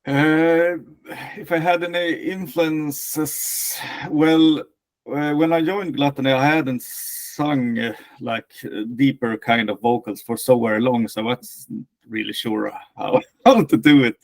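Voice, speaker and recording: A man with a thick Swedish accent, a band vocalist answering interview questions slowly and with many hesitations. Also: medium pitch. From Sweden. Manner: slow, hesitant, many filler sounds. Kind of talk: casual interview talk.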